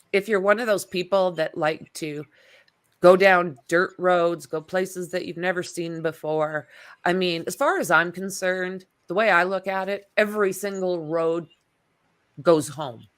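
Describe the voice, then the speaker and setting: A woman hosting a casual livestream chat, talking in a relaxed, rambling way with lots of fillers. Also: fairly low pitch, a little slow. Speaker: livestream host.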